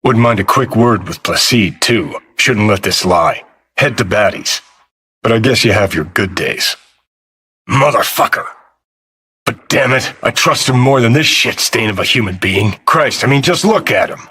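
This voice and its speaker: A man voicing a cynical, abrasive rebel in a video game, with a gritty rasp and a sardonic, commanding way of talking. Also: fairly low pitch, expressive, unhurried, bright, forceful tone, full character voice. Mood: angry, disgusted. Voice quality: raspy, gritty.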